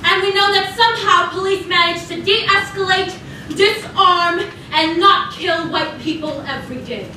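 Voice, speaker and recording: Very high-voiced woman, rhythmic stage poet, heard from afar in a booming hall. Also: unhurried, sharp tone, a little hiss or hum. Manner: rhythmic, impassioned declamation. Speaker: stage performer. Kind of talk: spoken-word poetry in a cabaret show. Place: theater stage, live. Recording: echoey stage from a distant mic.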